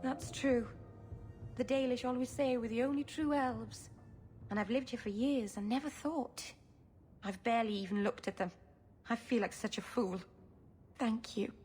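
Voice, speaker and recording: A woman playing a gentle, naive young female elf in a fantasy video game, with an earnest, halting delivery. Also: on the high side, faint music behind, close to an everyday voice. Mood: regretful.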